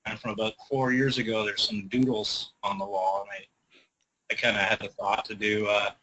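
A man's voice: fairly low pitch, expressive, normal speed, vocal fry, bright, forceful tone, dull audio, slight background noise. A man from a brewery, chatting easygoing and rambling as a guest, recorded in an office. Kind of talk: casual interview chat.

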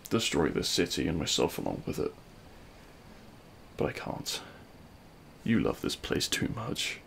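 A man playing a remorseful, self-loathing supervillain in an intimate audio roleplay, speaking in a halting, anguished way. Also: very deep voice, sing-song, gravelly, fairly bright tone, slight background noise, heavily acted. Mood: anguished regret. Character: remorseful male supervillain confessing love at a grave.

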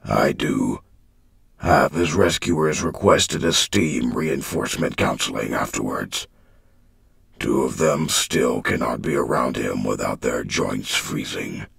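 A man voicing a deep gravelly robot leader. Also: lively intonation, a bit bright, heavily acted. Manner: formal, earnest, deliberate. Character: solemn idealistic robot leader, male. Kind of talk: fan comic dub.

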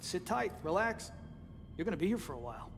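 Taunting jail guard, animated. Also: male voice, high voice, fairly quick, gravelly, big-room sound, a little hiss or hum, full character voice. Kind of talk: dramatic game voice acting.

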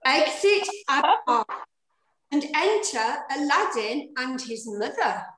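A woman's voice: high voice, very animated, slow, fairly bright tone. An older woman directing a rehearsal over a video call, emphatically correcting and reading stage directions. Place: indoor room, on a video call. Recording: roomy video-call sound.

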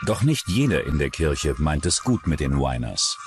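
A man's voice: very deep voice, very animated, moderate pace, over music. A man reading scripted true-crime narration as a documentary narrator.

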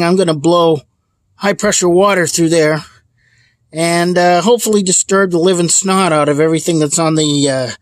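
A somewhat high-voiced man talks through a do-it-yourself car repair outdoors in a rambling, down-to-earth way, pausing often with fillers. His voice is close and dry, picked up by a handheld phone. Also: dry recording. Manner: rambling, plainspoken, frequent uh fillers. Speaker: DIY car repair vlogger. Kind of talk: casual hands-on repair walkthrough. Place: outdoors at a car engine bay.

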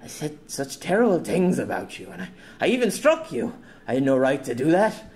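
A man's voice: medium pitch, wildly swooping pitch, a little fast, darkish tone. A man voicing a lonely, reclusive man who pleads while crying and stammering. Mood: pleading. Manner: desperate, stammering.

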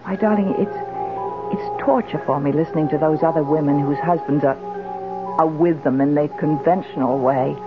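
A woman's voice: low voice, normal speed, music in the background, natural voice. Woman acting a genteel, insistent wife, tinny radio. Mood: adoring. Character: doting, imperious wife, yearning for her husband. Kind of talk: old radio drama acting. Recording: tinny old radio drama recording.

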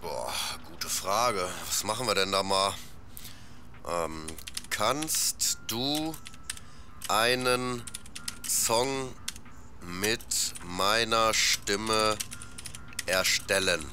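A man speaks in a lowish voice at a very slow, halting pace. He thinks aloud in casual slang, as in an audio drama, with distinct keyboard clicks and typing behind him. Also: bright, forceful tone, slight background noise. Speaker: rapper playing himself in an audio drama.

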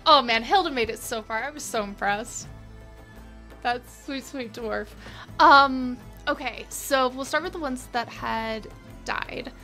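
A woman with a fairly high voice speaks in a casual, conversational way as an interview guest. Her delivery is enthusiastic and expressive, with music playing behind her. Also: a little slow. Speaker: guest tabletop role-playing player.

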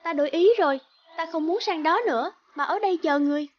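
A woman's voice: sits high, fast talker, lo-fi sound, close to an everyday voice. A woman voicing a brave, dutiful young princess, earnest and formal, in a cartoon folk tale. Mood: commanding.

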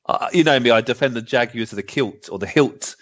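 A man's voice: medium pitch, brisk, fairly bright tone. A man chatting casually and jokily as a podcast co-host. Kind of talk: casual chat. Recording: slightly dull, lo-fi microphone sound.

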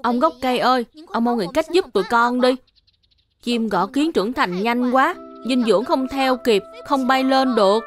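A woman's voice: fairly high pitch, normal speed, close to an everyday voice. A woman voicing a helpful, earnest little boy in a dubbed children's cartoon, with a childlike manner. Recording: music behind the voice.

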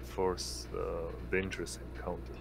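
A man talking casually and haltingly in an online tabletop roleplaying game session over a noisy mic. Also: completely flat delivery, gravelly. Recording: noisy mic with rumbling background.

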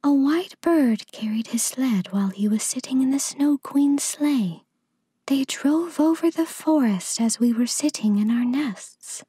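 Lady doing a cooing storybook pigeon, high and husky, kinda eerie and gossipy. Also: unhurried, dark tone, no echo, heavily acted. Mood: cooing, informative. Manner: cooing, sing-song calls. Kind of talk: storybook character voice.

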